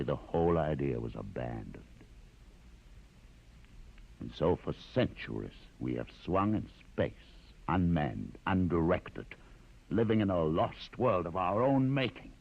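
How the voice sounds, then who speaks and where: An actor in a vintage radio drama playing a learned, commanding rebel leader with a measured, grandiose, theatrical delivery. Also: male voice, sits low, lively intonation, gravelly, husky, exaggerated voice. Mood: solemn, narrating. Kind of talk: 1950s radio drama acting. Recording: dull, muffled vintage radio recording.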